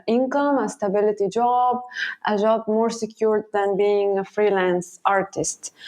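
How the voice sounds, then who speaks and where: Woman, from Egypt, halting, many fillers, very slow pace. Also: medium pitch, a little mellow. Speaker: guest painter. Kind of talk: interview answers.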